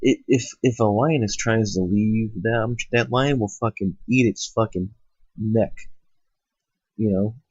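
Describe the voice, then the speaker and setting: Man, stuffed-up cold voice, husky, low-ish pitch, blunt profane rant, rambling fillers, dull lo-fi mic. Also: a little slow, darkish tone, no echo. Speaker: host. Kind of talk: ranting monologue. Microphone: cheap microphone. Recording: lo-fi home setup.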